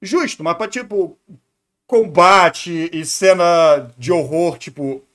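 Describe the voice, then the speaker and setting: A man's voice: on the high side, slow pace, a bit bright. A man with a Brazilian accent giving casual, off-the-cuff commentary as a streamer, in an informal, slangy way. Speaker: streamer reacting to videos.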